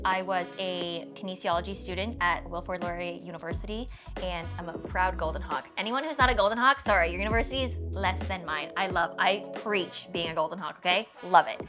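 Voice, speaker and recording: A woman of 29 with a Canadian accent, chatting casually and informally to followers with emphatic, self-mocking asides. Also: lowish voice. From Toronto area, Canada. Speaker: fitness content creator and vlogger. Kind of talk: casual rapid-fire Q&A chat to followers. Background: background music.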